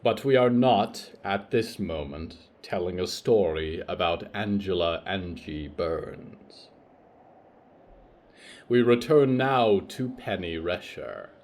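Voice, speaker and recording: A man narrating scripted horror fiction in a measured, solemn, dramatic way. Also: sits low, animated. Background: soft plucked guitar music.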